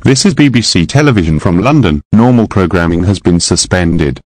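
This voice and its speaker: Low-voiced male continuity announcer, dry sound. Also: lively intonation, moderate pace, a little mellow, no echo. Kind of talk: scripted broadcast continuity announcement.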